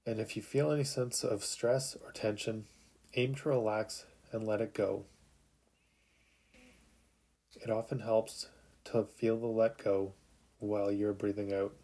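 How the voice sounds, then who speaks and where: A man narrating a guided sleep meditation in a calm, soothing, unhurried way with long pauses. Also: fairly low pitch.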